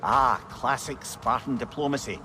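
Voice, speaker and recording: A man's voice: medium pitch, moderate pace, background noise, natural voice. A man voicing a learned old advisor in a video game, eloquent and wry, with a Scottish accent. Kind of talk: video game storytelling and banter.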